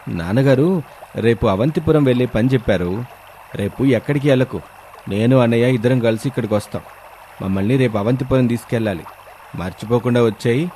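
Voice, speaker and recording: A man's voice: mid-range voice, a little fast, pauses often, warm tone, slight background noise, close to an everyday voice. A man playing a brooding, evasive younger son of a rich villager in a storybook tale. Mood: instructing.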